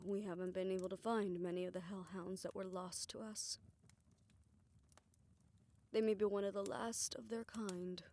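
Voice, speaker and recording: A woman playing a weary, affectionate older woman in an intimate romantic audio roleplay, speaking softly and reflectively in a measured way. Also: medium pitch.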